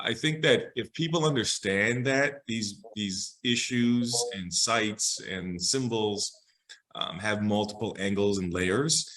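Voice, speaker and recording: A man with an American accent and a fairly low voice talks in an interview. He explains things like a storyteller who knows history, in an informal, conversational way, often checking that the listener follows. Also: a bit bright, poor audio. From Los Angeles, United States. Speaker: public historian, interview guest.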